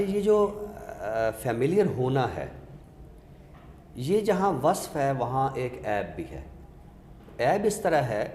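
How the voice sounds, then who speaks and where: A man's voice: mid-range voice, a little mellow. Man explaining literature in an echoey room. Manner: didactic, explains step by step with examples. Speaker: literary expert guest. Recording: echoey reverberant room with background noise.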